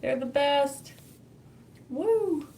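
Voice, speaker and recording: A woman's voice: high voice, lively intonation, drawn-out words, mellow, slight background noise. A young Canadian woman with a Canadian accent, hosting a casual livestream, chatty and laughing often as she answers viewer comments. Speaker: livestream host reading chat.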